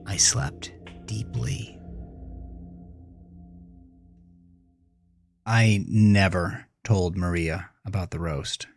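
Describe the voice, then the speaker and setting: Horror podcast lead: troubled teen boy narrator, lowish, slow, brooding confessional delivery over a music bed. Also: exaggerated voice. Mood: subdued, secretive. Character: troubled teenage boy narrating his own horror tale. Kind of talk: dramatic first-person horror audio narration.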